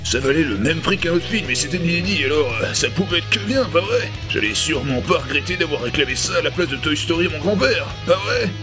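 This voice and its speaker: A man's voice: fairly high pitch, animated, fast, hardly any pauses, over music. A man in his twenties hosting a comedy review, narrating a script in an ironic, slangy way. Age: 20s. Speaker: host of a comedy review show.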